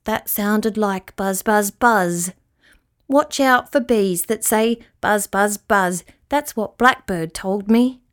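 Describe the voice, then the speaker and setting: A woman's voice: mid-range voice, slow, close to an everyday voice. A woman playing a naive, fretful little male sea rat, plaintive and easily offended, in a children's storybook. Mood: worried. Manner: plaintive and indignant.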